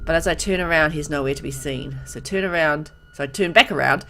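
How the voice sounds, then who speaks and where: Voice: medium pitch, brisk, gravelly, sharp tone, soft background music. A game player reading a visual novel aloud and adding informal commentary and reactions. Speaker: gamer, let's player.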